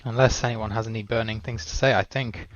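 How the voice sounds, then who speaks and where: A man with a lowish, flat-toned voice hosts a casual online discussion. He talks in a friendly, rambling manner, affirming others, over slightly dull, dry call audio. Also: fairly quick, no echo. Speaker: round table host.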